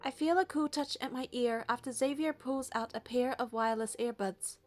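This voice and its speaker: High-voiced woman narrating a story over music. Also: normal speed. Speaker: player narrating a game playthrough. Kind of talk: expressive story narration, read aloud.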